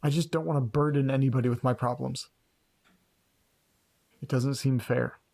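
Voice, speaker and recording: A creaky, medium-pitched male voice from an actor plays an anxious man who doubts himself. He speaks hesitantly and earnestly, as naturalistic dialogue in a horror audio drama about eerie mysteries. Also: fairly even intonation, normal speed, darkish tone. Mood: subdued, vulnerable.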